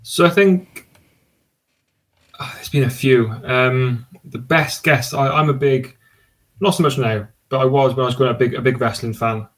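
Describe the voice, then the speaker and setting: A man talks as a podcast guest in an easy, enthusiastic ramble. His voice sits at an ordinary middle pitch, and he often stops and restarts his sentences. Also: normal speed. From Cardiff, Wales. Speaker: podcast guest and sports podcast host. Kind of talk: casual podcast conversation.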